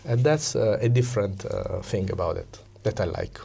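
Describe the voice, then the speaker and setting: An Italian man with an Italian accent, explaining things as an interview guest in a conversational but halting way, often pausing to search for words. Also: lowish voice, creaky, dark tone, husky. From Italy. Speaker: interview guest, psychology coach.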